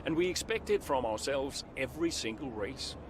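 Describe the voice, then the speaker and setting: A man's voice: mid-range voice, animated, moderate pace, noisy background, lightly acted. An actor playing a weary, insecure and defensive veteran male racing driver in a naturalistic video game cutscene. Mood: composed, guarded.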